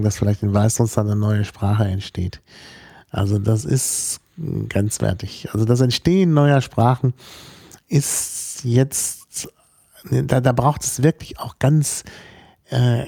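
A man from Hamburg being interviewed about languages, explaining slowly and thoughtfully, with many fillers and restarts. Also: fairly low pitch, mellow, no echo, clean studio sound. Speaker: linguist guest. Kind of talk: relaxed spoken explanation in an interview.

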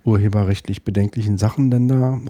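A middle-aged man with a Berlin accent, guesting on a podcast and talking in a thoughtful, hesitant way with many pauses and restarts. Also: fairly low pitch, steady tone, dark tone, no room sound, studio quality. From West Berlin, Germany. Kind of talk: casual interview conversation.

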